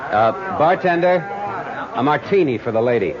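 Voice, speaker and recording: An actor playing an uneasy, wisecracking accomplice in an old-time radio drama. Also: male voice, mid-range voice, expressive, moderate pace, a crowd in the background, close to an everyday voice. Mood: exasperated. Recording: muffled, noisy old radio recording.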